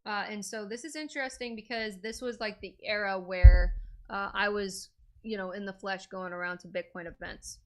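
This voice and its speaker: A woman's voice: mid-range voice, fairly even intonation, moderate pace, darkish tone. A woman hosting a crypto video, chatting casually with lots of fillers and strong opinions. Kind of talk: casual commentary.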